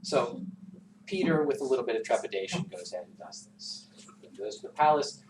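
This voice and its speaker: Male teacher as oral storyteller, lively swooping pitch, conversational, recorded roomy and distant. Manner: animated, conversational, pausing for asides. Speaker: teacher telling a folktale to a class. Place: classroom, recorded from some distance. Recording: roomy, distant classroom recording with background noise.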